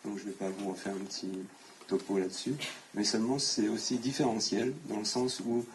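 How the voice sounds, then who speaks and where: A man, an equine coaching instructor, presenting his training center at a conference in a casual, halting way with many fillers. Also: on the low side, level tone, a little hiss or hum, rough recording. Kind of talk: conference talk.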